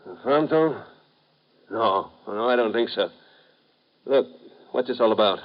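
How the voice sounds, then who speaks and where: An actor playing a hungover, rich American playboy in a 1950s radio drama, sardonic and weary. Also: male voice, mid-range voice, measured pace, lightly acted. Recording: dull old radio broadcast.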